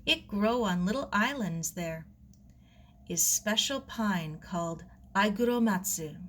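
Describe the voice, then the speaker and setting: A woman voicing a gentle, proud old Japanese gardener who speaks clipped, broken English with a Japanese accent in a storybook reading. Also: mid-range voice, animated, slow. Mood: gentle, instructive.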